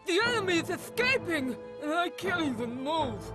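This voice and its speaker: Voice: extremely high pitch, very animated, normal speed. An actor voicing an officious, easily panicked little cartoon henchman who declaims in exclamations, with music behind. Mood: alarmed. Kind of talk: animated cartoon acting.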